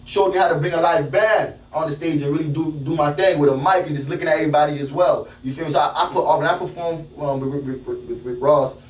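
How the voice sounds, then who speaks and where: Animated fast-talking South Florida rapper. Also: male voice, a little high. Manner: animated slangy storytelling. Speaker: rapper guest. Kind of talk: casual interview chat. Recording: roomy, mic at a distance.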